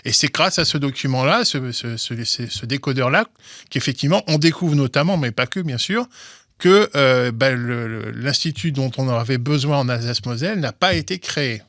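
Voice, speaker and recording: A man's voice: medium pitch, animated, a bit bright, no echo. Guy explaining law stuff, keeps restarting, dry close mic. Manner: halting, many restarts and repeated words. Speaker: guest, legal commentator. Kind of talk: explanatory interview talk.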